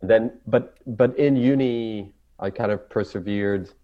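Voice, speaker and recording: Israeli man, very low voice, hesitant and halting, dull lo-fi sound. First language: Hebrew. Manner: halting, searching for words, many fillers and restarts. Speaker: ecologist guest. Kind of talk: casual interview talk.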